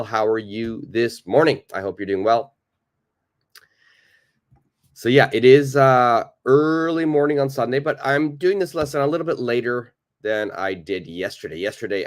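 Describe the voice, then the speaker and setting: A man with a very low voice, hoarse and raspy from a cold, teaches a live online English lesson. He greets viewers by name and repeats each grammar point patiently.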